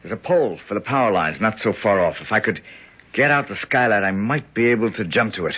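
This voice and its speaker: A man's voice: lowish voice, lively intonation, a little fast, husky. An actor playing a wry, dogged tough-guy hero in a 1970s radio drama. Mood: determined. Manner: wry, hard-boiled. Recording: muffled, noisy 1970s radio broadcast.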